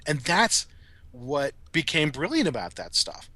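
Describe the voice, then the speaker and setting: A man's voice: on the high side, huge pitch swings, unhurried, pushed and bright, no echo. A middle-aged man speaking as a podcast guest in casual, reflective conversation.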